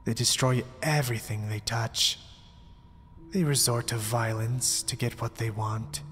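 A man's voice: medium pitch, fairly even intonation, a little slow, a bit bright, a little hiss or hum, lightly acted. A man playing a mysterious young zealot, polite, pompous and persuasive, in an ASMR roleplay drama. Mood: polite, grandiose. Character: mysterious, smooth-talking young male zealot cult recruiter. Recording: long reverb, background music. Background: music.

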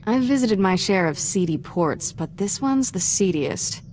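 A woman's voice: medium pitch, animated, unhurried, darkish tone, a little hiss or hum, natural voice. A woman playing a restless, wisecracking young apprentice in a video game, breezy and sardonic. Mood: wry.

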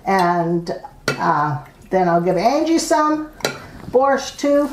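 A woman's voice: medium pitch, very animated, very slow, background noise. An older woman from Canada, an author and host, reading aloud and presenting a home cooking demonstration. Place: indoors at a dining table in a home.

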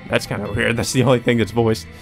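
A man with a mid-pitched voice comments casually on a game he is playing. He is blunt and swears freely, with game music faintly behind him. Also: level tone, fast, creaky. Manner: blunt, profane. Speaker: let's player.

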